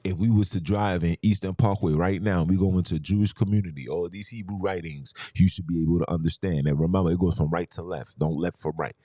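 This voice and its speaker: A deep, mellow-toned man holds forth in a heated podcast monologue. He argues hard, firing rhetorical questions and hammering points home with repetition. His voice sits close and dry, with no room sound around it. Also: fairly even intonation, fast, hardly any pauses, no echo. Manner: argumentative, rhetorical questions, emphatic. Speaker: podcast host.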